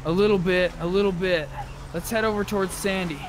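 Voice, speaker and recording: A man's voice: high-pitched, steady tone, a little fast, slight background noise, low-quality recording. A man chatting casually in character during an online roleplay game. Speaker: roleplay gamer.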